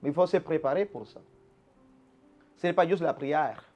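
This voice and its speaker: Pastor with a higher voice, preaching earnestly. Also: male voice, moderate pace, warm tone, dull audio, faint music behind. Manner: restarts and repeats words, emphatic teaching tone. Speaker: pastor, interview guest. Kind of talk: earnest preaching-style answers. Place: Quebec.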